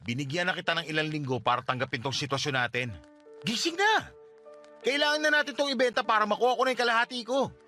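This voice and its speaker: A fed-up husband in a radio drama, his voice high and sharp. He is curt and cold, pushing to be done with his marriage, over faint music. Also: quick pace. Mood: impatient. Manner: curt, exasperated. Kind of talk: radio drama dialogue in Tagalog.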